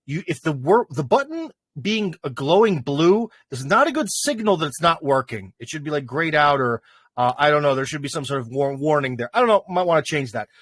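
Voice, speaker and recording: A man's voice: on the high side, fairly bright tone, no echo, studio quality. A man hosting a casual live stream, rambling with many fillers and chuckles. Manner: rambling, lots of fillers and laughs.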